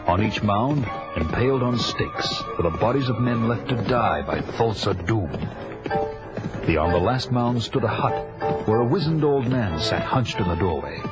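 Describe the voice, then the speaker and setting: A grave barbarian warrior played by a man tells of his quest for vengeance in an audio drama. His voice is low and solemn, with music clearly behind it. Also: expressive, measured pace. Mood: grave, brooding. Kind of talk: scripted first-person audio drama narration.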